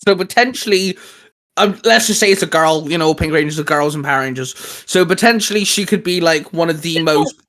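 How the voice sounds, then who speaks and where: A man's voice: a little high, a little fast, fairly bright tone. A man hosting an informal geeky discussion, thinking aloud and asking questions conversationally. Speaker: channel host. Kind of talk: casual geek discussion.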